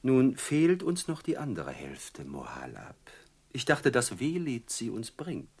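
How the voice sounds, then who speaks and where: An actor playing a mocking, falsely courteous bandit chief in a German radio play, speaking with theatrical, oily politeness. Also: male voice, medium pitch, huge pitch swings, unhurried, husky, exaggerated voice. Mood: sly, threatening.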